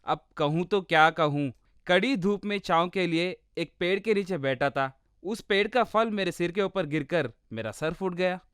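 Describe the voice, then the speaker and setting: A man's voice: sits high, normal speed, a bit bright, clean tone, no echo, studio quality. A man voicing an unlucky, complaining village man in a storybook comedy. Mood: self-pitying. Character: self-pitying unlucky village man and his easygoing friend.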